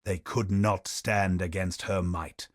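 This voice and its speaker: A man voicing a game character who recounts a dark war tale in slow, grave storytelling. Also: sits low, steady tone, no room sound, studio quality. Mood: somber. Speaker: game character narrating lore. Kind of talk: video game voice lines, lore recounting.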